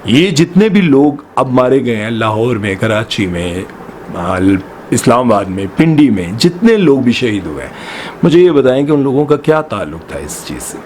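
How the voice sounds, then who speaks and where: Male news commentator, heated and pointed, middle pitch, faint hiss behind. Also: very animated, moderate pace, a little mellow. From Pakistan. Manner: impassioned, full of rhetorical questions.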